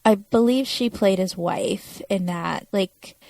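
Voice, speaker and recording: A woman's voice: medium pitch, takes it slowly, vocal fry, darkish tone, no room sound. A woman talking casually as a podcast co-host, chatting through a television episode. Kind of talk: casual chat about a TV episode.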